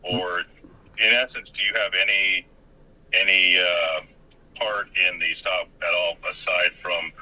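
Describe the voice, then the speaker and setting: Deep, husky American man, sharp bright edge. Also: steady tone, unhurried, poor audio. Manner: hesitant, repetitive, formally polite. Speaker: police lieutenant on phone. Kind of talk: official phone conversation.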